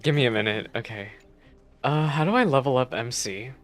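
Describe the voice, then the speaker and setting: A man's voice: medium pitch, a little fast, faint music behind. A man giving casual, teasing live reactions as a game streamer, chatty and joking.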